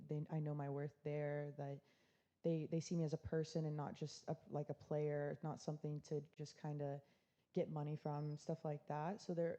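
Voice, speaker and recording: A woman's voice: low voice, warm tone, dull audio. A young woman who is a student athlete, answering loosely on a panel with many likes and restarts. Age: young adult.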